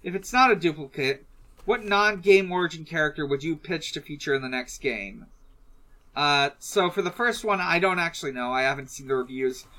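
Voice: medium pitch, moderate pace, pushed and bright. A podcast host chatting casually and reading out listener questions, hesitant and often trailing off mid-thought.

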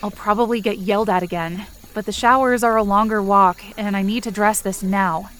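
A woman's voice: mid-range voice, steady tone, a little fast, fairly bright tone, slight background noise, natural voice. A woman playing an anxious, injured young camp counselor, narrating a first-person audio drama in a tense, dramatic way. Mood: uneasy, tired. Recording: rain and ambience behind the voice. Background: rain and outdoor ambience effects.

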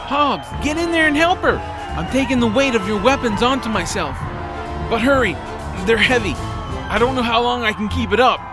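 A man's voice: extremely high pitch, expressive, normal speed. An actor playing an earnest young male spellcaster in an audio drama, urgently rallying companions. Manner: urgent, encouraging. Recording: loud music and crowd behind.

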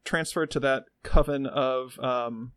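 A man's voice: mid-range voice, fairly even intonation, a bit bright, no room sound. A man with a Midwestern American accent co-hosting a podcast in casual comedic banter, rambling and often interrupting himself mid-thought. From Midwestern United States. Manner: rambling, halting, self-interrupting. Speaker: podcast co-host.

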